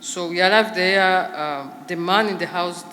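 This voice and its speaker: Woman giving halting testimony in an echoey hall. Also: lowish voice, a bit bright. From Rwanda. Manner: halting, measured, with frequent pauses and fillers. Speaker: genocide survivor giving testimony. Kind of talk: personal testimony speech. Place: large commemoration hall. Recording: echoey hall with faint background noise.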